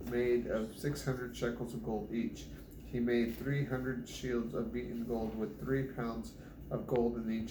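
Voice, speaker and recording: Man reading scripture aloud at home. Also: mid-range voice. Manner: steady reading with frequent restarts. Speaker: Bible reader. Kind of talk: family Bible reading aloud. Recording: echoey room with background noise.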